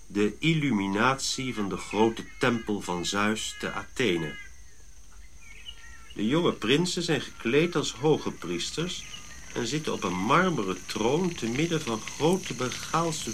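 Stately ceremonial speaker, mid-pitched. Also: male voice, fairly bright tone. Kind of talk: radio drama, declamatory speech. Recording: faint hiss and outdoor insect-like ambience.

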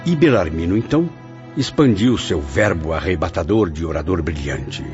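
A man's voice: sits low, animated, moderate pace. A man narrating a radio drama from a script in a solemn, formal, grandiose manner. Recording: muffled radio play over music.

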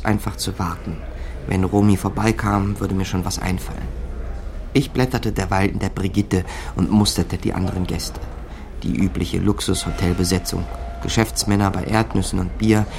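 Gravelly, wry crook narrator. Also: male voice, deep voice, background noise. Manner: wry, laconic. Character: small-time crook Eddy narrating his own wry caper. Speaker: narrator of a radio crime play. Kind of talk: first-person scripted narration in a radio crime drama.

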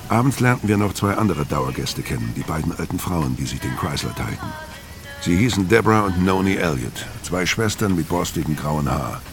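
An actor playing a brooding, hard-drinking fugitive killer who narrates his story tersely in a crime radio play. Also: a bass voice.

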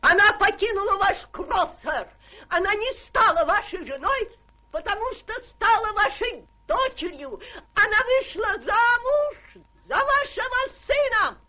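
Anxious, high-voiced lady's companion. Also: lively intonation, unhurried, pushed and bright, heavily acted. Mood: frantic, indignant. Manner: breathless, pleading, melodramatic. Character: anxious, fussing genteel female companion of a rich lady. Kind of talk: stage-trained radio drama acting.

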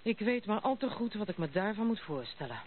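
A woman's voice: mid-range voice, big pitch swings, lightly acted. Actress as a headstrong young noblewoman, tinny old broadcast. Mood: blunt, dismissive. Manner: brisk, self-assured, outspoken. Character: headstrong, unconventional young noblewoman, blunt and proud. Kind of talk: Dutch radio play acting. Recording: muffled narrow-band old radio recording, noisy.

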